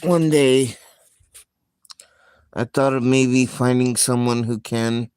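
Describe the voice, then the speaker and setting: A man hosting a casual live stream, talking in a slow, soft-spoken, reflective way with frequent pauses. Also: medium pitch, fairly even intonation, clean tone, no room sound. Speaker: livestream host.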